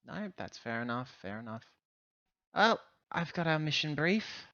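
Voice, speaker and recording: A man playing a roleplaying game, talking casually in character with halting, self-correcting explanations. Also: a little high, big pitch swings, sharp tone, no room sound, muffled recording. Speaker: tabletop roleplaying player.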